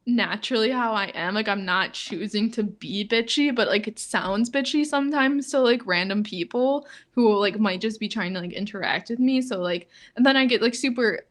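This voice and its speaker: A young woman with a monotone delivery and a middle-pitched voice, talking casually on a podcast. She fills nearly every phrase with "like" and laughs often between thoughts. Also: moderate pace, few pauses. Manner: says "like" constantly, flat and low-key, laughs often. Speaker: podcast co-host. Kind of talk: casual chat.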